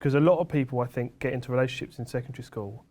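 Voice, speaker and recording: A man's voice: mid-range voice, rapid-fire, darkish tone. A young man co-hosting a podcast, reflective and asking probing questions in casual chat. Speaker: podcast co-host.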